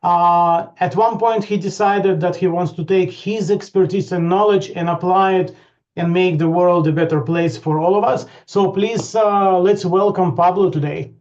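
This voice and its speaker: A man hosting an online webinar over a video call, talking haltingly with many fillers and restarts. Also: sits high, fairly even intonation, darkish tone. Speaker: webinar host. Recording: dull lo-fi call audio.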